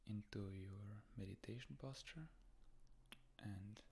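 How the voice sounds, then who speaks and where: A man leading a guided meditation as a teacher, speaking in short, gentle, unhurried phrases. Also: fairly low pitch.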